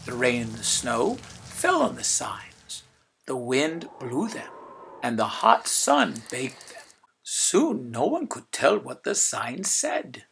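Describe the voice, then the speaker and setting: A man reading a children's story aloud in an expressive, emphatic way. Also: mid-range voice, slow, gravelly, slightly rough. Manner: expressive, emphatic, repeating phrases for effect. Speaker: storybook reader. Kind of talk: animated children's read-aloud narration.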